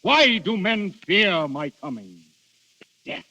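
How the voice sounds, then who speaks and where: An actor playing Death in human guise as a proud, sorrowful immortal lover, in grand, eloquent 1930s radio style. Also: male voice, high-pitched, very animated, slow pace, pushed and bright, full character voice. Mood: proud, impassioned. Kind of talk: 1930s radio drama acting. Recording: muffled old 1930s radio recording.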